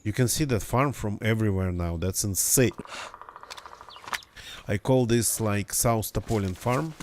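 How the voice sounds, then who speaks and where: Slow, slightly nasal man streaming. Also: lowish voice, darkish tone, a little hiss or hum. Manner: rambling, self-correcting. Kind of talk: casual gameplay commentary.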